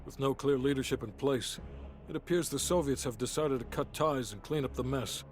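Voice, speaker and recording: An actor playing a hard-nosed, businesslike intelligence officer giving a matter-of-fact debrief in a video game. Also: male voice, mid-range voice, moderate pace, lightly acted. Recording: music under voice. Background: music.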